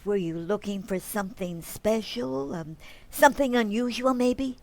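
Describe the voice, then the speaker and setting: A woman playing a knowing, affectionate, gently teasing wife in an old-time radio sitcom. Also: medium pitch, unhurried, gravelly. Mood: teasing, fond. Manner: wry, affectionate.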